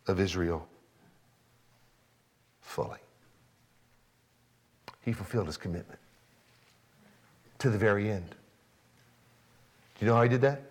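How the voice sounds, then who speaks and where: A man preaching a sermon, swinging from soft, low emphasis to raised, excited lines, sometimes acting out a biblical speaker in first person. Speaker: pastor.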